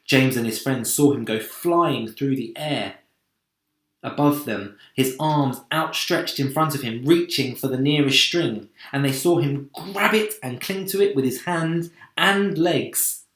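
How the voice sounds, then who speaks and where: A man reading a children's story aloud in an expressive, theatrical manner. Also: medium pitch, unhurried. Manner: dramatic, theatrical. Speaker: storybook reader, teacher. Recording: roomy echo.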